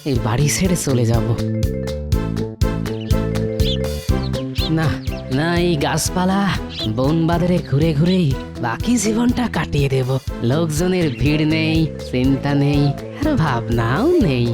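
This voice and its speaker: A woman's voice: low-pitched, lively intonation, normal speed, over loud music. A woman voicing a poor, crafty male village blacksmith who talks in a folksy, exclamatory way in a cartoon dub. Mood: resigned. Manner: rustic, exclamatory, self-muttering.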